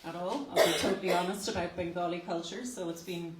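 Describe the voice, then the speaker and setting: A woman's voice: on the low side, steady tone, quick pace, a loud crowd. A woman giving a modest, self-conscious impromptu speech as a neighbour of the hosts. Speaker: neighbour of the hosts, guest speaker. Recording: roomy hall from a distance, loud noise.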